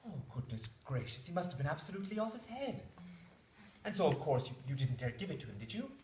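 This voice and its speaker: A man's voice: fairly high pitch, sing-song, fast, a little mellow, a little hiss or hum. A man playing a naive, fretful young scholarly husband in a stage drama, stammering and often ending remarks with "eh?". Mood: worried. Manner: stammering, flustered, ends remarks with "eh?". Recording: roomy echo, distant microphone.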